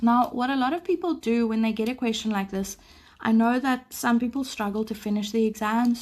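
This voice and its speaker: A woman who is sick teaches a live maths lesson, explaining patiently and clearing her throat often. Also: medium pitch. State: sick, voice a bit off. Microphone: phone camera over paper.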